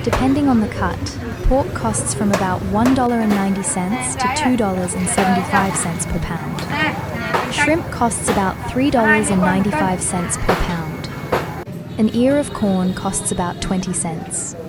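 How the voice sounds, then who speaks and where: A woman's voice: medium pitch, moderate pace. Young woman narrating over music. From Vietnam. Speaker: vlogger. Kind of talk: scripted travel narration. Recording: voice-over with clear background music. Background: background music.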